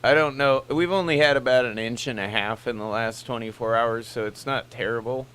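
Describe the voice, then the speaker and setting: A man's voice: mid-range voice, fairly quick, fairly bright tone, smooth. An American man chatting casually as a CB radio operator. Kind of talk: casual two-way radio chat. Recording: CB radio with background hum and noise.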